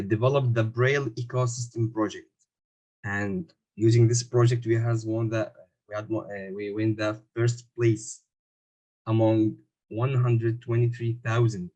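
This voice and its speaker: A man's voice: lowish voice, darkish tone, rough recording. A young man from Syria, a new engineering graduate, presenting his team's project on a video call from a prepared text, with some grammar slips. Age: young adult.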